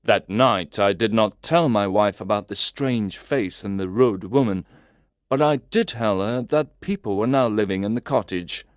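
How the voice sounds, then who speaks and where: A man narrating a first-person story in a slow, deliberate, carefully enunciated way, as if for language learners. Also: lowish voice, clear, resonant tone, dry recording, clean studio sound.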